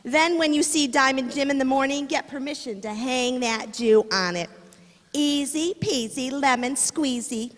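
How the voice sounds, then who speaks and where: Woman as a high-voiced, scheming gangster's wife. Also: unhurried. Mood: sly, mocking. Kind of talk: amateur radio-play acting. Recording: echoey reverberant hall.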